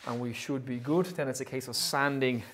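A man's voice: medium pitch, steady tone, normal speed, a bit bright, slight background noise. A man in his thirties hosting a woodworking vlog, chatting casually and thinking aloud as he works in his shed. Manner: chatty, upbeat, thinks aloud while working. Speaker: woodworking channel host. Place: indoor woodworking shed, pegboard walls.

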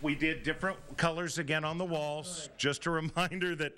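A man's voice: fairly high pitch, steady tone, brisk, bright, forceful tone. Upbeat joking male field reporter. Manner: upbeat, joking, guiding people around. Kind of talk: live on-location TV segment. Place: indoor renovated building. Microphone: handheld reporter mic.

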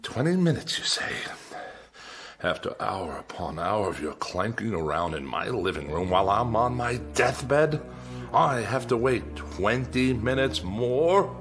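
An actor playing a fussy, irritable middle-aged hypochondriac husband in a radio drama, pompous and sarcastic. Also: male voice, lowish voice, sing-song, measured pace, faint music behind. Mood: indignant.

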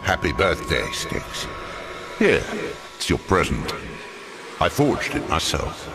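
A man's voice: a bass voice, huge pitch swings, takes it slowly, over loud music, full character voice. An actor playing a grave but tender aging father in a fantasy video game, speaking solemnly. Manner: solemn, paternal. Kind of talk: dramatic video game acting.

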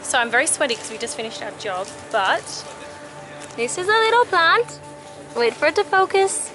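Bubbly high-voiced young woman vlogging. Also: animated, moderate pace, music in the background. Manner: bubbly and playful, giggly. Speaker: travel vlogger. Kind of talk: casual vlog chat. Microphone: handheld camera at arm's length.

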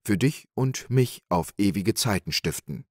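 A man reading a calm pious monk. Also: fairly low pitch, measured pace, no echo, clean studio sound. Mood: earnest. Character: pious calm male monk, older brother figure.

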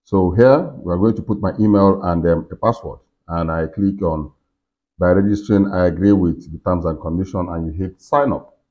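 A man in his forties hosting a casual software walkthrough, talking himself through each step. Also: low voice, normal speed. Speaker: tutorial host. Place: indoors in a furnished room. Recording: slightly dull, lo-fi sound.

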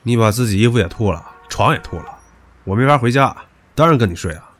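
A man's voice: mid-range voice, lively intonation, fast talker, a bit bright, slight background noise, lightly acted. A man playing a stubborn, possessive young suitor in a modern audio drama, talking in a cocky, crude, pushy way. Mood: grumbling, defiant. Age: young adult.

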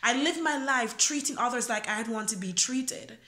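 A young woman from Jamaica delivers a freestyle poem straight to her phone camera. Her voice sits a little high and carries a searching, earnest tone as she asks question after question. The small room around her adds a noticeable echo. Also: moderate pace, sharp tone. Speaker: spoken word poet. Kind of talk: freestyle spoken word poetry. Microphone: phone camera close up.

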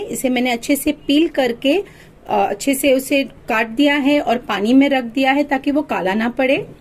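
A woman's voice: high voice, a little mellow, far from the mic. A woman hosting her cooking channel, chatting through a recipe as voice-over and mixing English phrases into her talk.

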